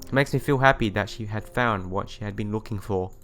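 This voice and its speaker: A man's voice: lowish voice, brisk, fairly bright tone. A man reading a visual novel aloud, with casual asides and some stumbles over words that he goes back over. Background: music playing behind the voice.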